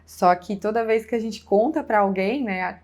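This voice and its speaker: A woman's voice: mid-range voice, normal speed, a little mellow. A woman pastor with a southern Brazilian accent, giving an informal personal testimony as a guest, with frequent conversational check-in tags.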